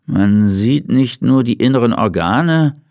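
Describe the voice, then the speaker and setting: A man voicing a weary, laid-back male forensic pathologist who muses out loud in a relaxed way. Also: mid-range voice, takes it slowly, no echo, clean studio sound, pitched above the performer's own voice. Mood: musing.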